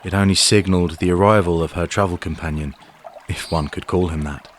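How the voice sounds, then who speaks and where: A man's voice: deep voice, fairly even intonation, a little fast, slight background noise. A man narrating a scripted fantasy story for an audio drama.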